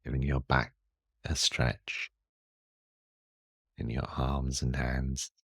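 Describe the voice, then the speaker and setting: A man guiding a meditation in a calm, soothing and measured manner, leaving long pauses. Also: extremely low pitch, slightly rough, no room sound, clean studio sound. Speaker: meditation guide. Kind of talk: guided meditation.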